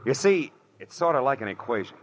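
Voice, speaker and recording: An American actor playing a shrewd, world-weary private detective in 1940s radio drama, with a clipped, wry, emphatic delivery. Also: male voice, mid-range voice, sing-song, fairly quick, slight background noise, natural voice. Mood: wry, explanatory. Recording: muffled old radio broadcast, music behind.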